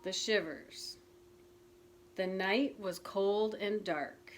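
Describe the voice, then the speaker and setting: A woman teacher reading a picture book aloud to young children, gentle and clear. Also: medium pitch, a little hiss or hum. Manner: gentle, slow, clearly enunciated. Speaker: preschool teacher.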